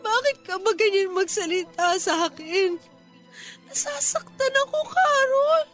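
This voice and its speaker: A woman's voice: very high voice, animated, a little slow, fairly bright tone, faint music behind, exaggerated voice. A woman acting in a Tagalog radio drama as a despairing, fragile young mother, wounded, tearful and full of self-pity. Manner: tearful, wounded, emotionally intense.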